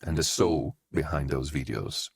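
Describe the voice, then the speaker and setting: A man from Brazil, a native Portuguese speaker with a Brazilian accent, talking earnestly and haltingly to his viewers, set back from the mic. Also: a bass voice, creaky, breathy edge. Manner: earnest, halting, with fillers and restarts. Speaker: channel creator. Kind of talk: unscripted personal address to viewers.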